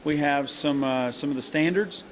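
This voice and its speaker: A middle-aged man talks in a fairly high voice. He answers interview questions earnestly and haltingly, starting over often as he works out what he wants to say. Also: a little hiss or hum. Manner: earnest, halting with many restarts. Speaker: country singer and hunting show host.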